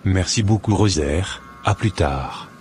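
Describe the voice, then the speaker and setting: A man playing an earnest young man, low-voiced. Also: expressive, slow pace, darkish tone, faint music behind. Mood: smitten, earnest. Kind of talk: scripted cartoon dubbing.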